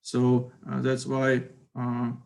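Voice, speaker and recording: A middle-aged man teaches a university physics class, talking through a computer mic. He speaks slowly and haltingly, stopping often for fillers, and his voice has a gravelly fry. His pitch sits in the middle range for a man. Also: completely flat delivery. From Ukraine. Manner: hesitant, many fillers, careful non-native phrasing. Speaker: physics lecturer. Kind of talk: university lecture.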